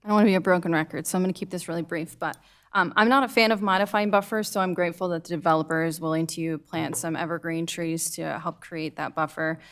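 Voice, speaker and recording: An American woman making brisk, informal remarks as a commissioner at a town meeting. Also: fairly low pitch, fairly even intonation.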